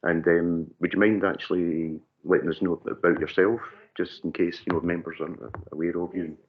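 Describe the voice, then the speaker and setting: Very deep man chairing, thin far-off audio. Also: flat delivery, brisk, narrow, tinny audio, background noise. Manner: brief, hesitant, with fillers. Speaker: committee convener. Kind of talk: chairing a council meeting.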